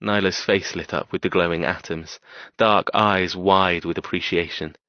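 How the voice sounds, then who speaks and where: Audiobook lead: man playing a brooding, tormented cold man telling his story, deep, terse, closely dry recorded. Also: steady tone, normal speed, dry recording, muffled recording. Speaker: audiobook narrator. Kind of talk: first-person scripted fiction narration.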